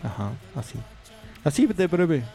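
A man's voice: fairly high pitch, big pitch swings, measured pace, warm tone. Chatty man hosting community radio. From Mexico. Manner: rambling, chatty, many fillers and asides. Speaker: radio host. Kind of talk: casual on-air chat. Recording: radio studio with faint music behind.

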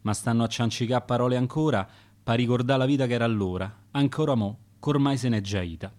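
A man with a Roman accent narrating dialect sonnets as a gravedigger for a radio play, in a measured storytelling manner. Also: medium pitch, a little mellow. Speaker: narrator and author.

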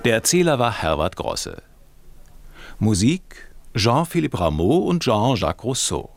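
A male announcer reads radio play credits. His voice is on the low side with an animated contour, set over prominent music. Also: measured pace, creaky.

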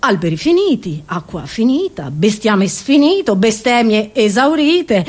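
A woman performing a theatrical, satirical comedy monologue, expressive and ironic, with rhetorical asides to the audience. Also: a little high, unhurried. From Italy.

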